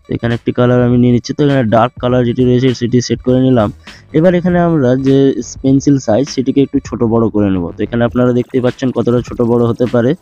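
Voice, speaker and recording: A man narrates a screen tutorial at a brisk clip, calling his viewers friends. His voice is mellow and dark. The recording is dull and lo-fi, with faint music underneath. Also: mid-range voice. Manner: steady instructional, addresses viewers as friends. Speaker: tutorial host.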